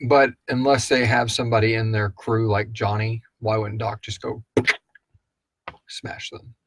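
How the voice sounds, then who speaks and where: A man's voice: on the low side, wildly swooping pitch, measured pace, heavy background noise. A man hosting a casual question-and-answer chat, rambling and thinking aloud as he speculates. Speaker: host of a live audio drama Q&A.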